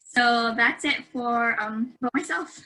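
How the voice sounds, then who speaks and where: A woman's voice: fairly high pitch, takes it slowly, bright, forceful tone, rough recording. A young woman from the Philippines, a university student, chatting casually about herself with fillers and light laughs. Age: young adult. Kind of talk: casual webinar introduction.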